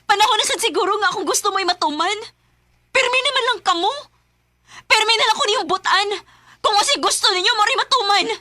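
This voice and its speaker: A woman's voice: extremely high pitch, a little fast, bright, forceful tone, dry recording, clean studio sound. An actress playing a hurt, frustrated young daughter who stands up to her parents in a radio drama. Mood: pleading, frustrated. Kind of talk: Philippine radio drama acting.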